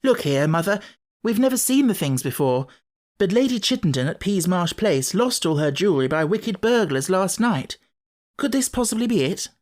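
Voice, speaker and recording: A man's voice: high voice, very animated, moderate pace, pitched above the performer's own voice. A man voicing an earnest schoolboy in an audiobook, persuading hesitantly and a little desperately. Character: earnest schoolboy, desperate, quick-thinking older brother. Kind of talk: audiobook character acting.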